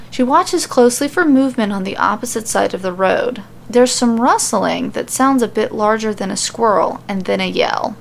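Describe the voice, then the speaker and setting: A woman's voice: medium pitch, creaky, a little hiss or hum. A woman reading scripted fiction as the narrator of an audio story.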